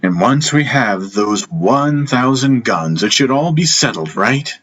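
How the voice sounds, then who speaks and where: A man voicing a sardonic, world-weary king who speaks haltingly to his brother in a fantasy audiobook. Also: mid-range voice, animated, fairly bright tone, a little hiss or hum, voice pushed up. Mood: sarcastic. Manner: sarcastic, halting, hesitant. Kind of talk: fantasy audiobook character acting.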